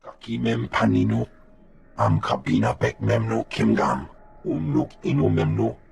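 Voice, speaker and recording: An actor voicing a male alien analyst in a video game, speaking guttural invented syllables in short phrases with a gravelly voice. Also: on the low side, fairly even intonation, a little slow, darkish tone, faint music behind. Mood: businesslike. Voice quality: gravelly, creaky.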